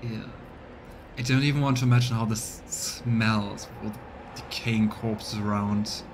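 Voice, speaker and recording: A man doing live let's play commentary, reading game text aloud and thinking out loud for his viewers. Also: fairly low pitch, steady tone, a little slow, background noise. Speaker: live streamer voicing a game playthrough.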